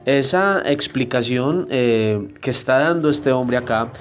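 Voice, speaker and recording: A man lectures on church history in a measured, explanatory voice, neither high nor low. He often stops to hesitate before pushing on. Faint music plays softly behind him. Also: lively intonation. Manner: deliberate, explanatory, frequent hesitation fillers. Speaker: Bible teacher.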